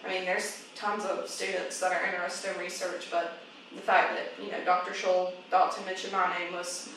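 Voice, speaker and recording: A woman's voice: lowish voice, little pitch movement, moderate pace, vocal fry, a bit bright. Young woman interviewed in very echoey room. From South Carolina, United States. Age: young adult. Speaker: interviewee, undergraduate research student. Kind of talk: casual interview answers. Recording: very echoey room, distant mic, faint noise.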